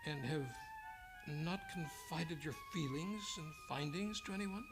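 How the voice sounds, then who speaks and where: An actor playing a cunning older psychiatrist turned murderer in an old-time radio drama, speaking deliberately and condescendingly with hesitant repeats. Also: mid-range voice, music in the background, natural voice. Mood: guarded, musing.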